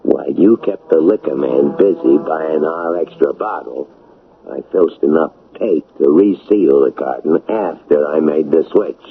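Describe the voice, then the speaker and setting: A scheming, menacing drug smuggler in a radio play. His voice is rock-bottom low and full of gravel, and he talks in slangy, brash bursts. The old broadcast sounds muffled. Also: unhurried, a little hiss or hum. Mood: sly, boastful. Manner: slangy, brash street-tough talk. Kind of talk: radio drama acting.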